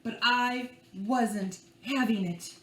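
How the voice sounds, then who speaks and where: A woman reading a picture book to young children in an expressive, playful and theatrical way, drawing out words and adding hushed asides. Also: fairly high pitch, slow. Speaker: librarian reading at children's storytime. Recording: echoey room with faint background noise.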